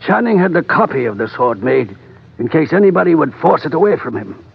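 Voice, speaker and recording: An earnest, resolute trader in a classic radio adventure. His voice has a clear creak and sits a little high, with formal, measured phrasing. The vintage recording sounds dull. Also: very animated, a little hiss or hum. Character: earnest, determined male trader in the colonial East. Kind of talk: old-time radio drama acting.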